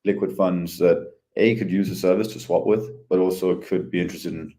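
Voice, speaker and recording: A man chatting casually as a podcast guest, easygoing and hesitant with many pauses. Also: low voice, fairly even intonation, warm tone. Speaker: head of ecosystem, podcast guest.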